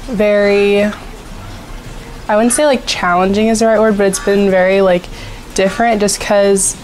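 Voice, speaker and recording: Flat-voiced young woman with many fillers. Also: mid-range voice, slight background noise, poor audio. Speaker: interviewee.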